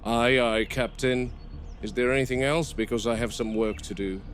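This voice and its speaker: An actor playing a stern, blunt park game warden in a found-footage horror drama, speaking tersely and dryly. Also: male voice, mid-range voice, fairly even intonation, normal speed, soft background music, natural voice. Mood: firm, grim. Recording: noisy degraded VHS tape sound.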